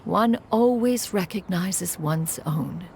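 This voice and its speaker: A woman's voice: fairly low pitch, animated, slow pace, vocal fry, darkish tone, slight background noise, exaggerated voice. An actress playing a proud, aloof female crane immortal in a game, speaking in formal, archaic phrasing and calling herself "one". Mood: wistful, tender. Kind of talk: scripted game voice acting, storytelling monologue.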